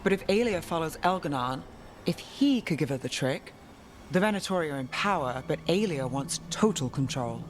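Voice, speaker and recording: An actress playing a guarded, world-weary detective in a fantasy video game, speaking in a wry, clipped, restrained way. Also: female voice, low-pitched, animated, moderate pace, noisy background. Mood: wry, measured. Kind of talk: video game cutscene acting.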